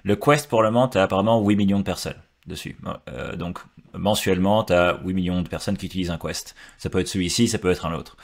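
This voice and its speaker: Belgian-accented man, podcast guest, low voice, halting explainer. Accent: Belgian French. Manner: hesitant, many restarts and fillers while explaining. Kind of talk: casual tech podcast chat.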